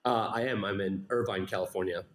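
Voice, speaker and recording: A man's voice: on the low side, steady tone, fairly quick, roomy sound. A man born in Afghanistan, a company founder answering interview questions in an easygoing way, fond of catchy sayings. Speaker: startup CEO guest.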